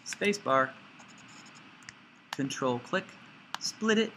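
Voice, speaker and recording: A man teaching an informal step-by-step software tutorial, playfully talking himself through each action out loud. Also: fairly high pitch, expressive, very slow, a little hiss or hum, low-quality recording.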